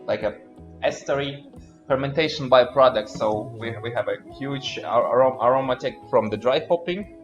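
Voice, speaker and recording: A man from Kazakhstan co-hosts a relaxed beer tasting livestream as a brewer. His voice sits at a medium male pitch and his manner is playful. The sound is dull and lo-fi, with music clearly playing behind him. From Kazakhstan, from Astana. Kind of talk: casual livestream tasting chat. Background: clear background music.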